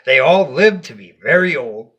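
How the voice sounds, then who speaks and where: A man tells a bedtime story with a husky voice pitched on the high side. His delivery is unhurried and dramatic, with wide swings in pitch. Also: expressive, a bit bright, highs cut off. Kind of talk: expressive bedtime story narration.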